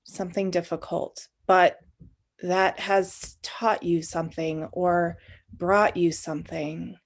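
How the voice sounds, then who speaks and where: A woman's voice: fairly low pitch, level tone, slow pace. A woman leading a guided meditation in an informal, conversational and inviting way, as the guide of the session.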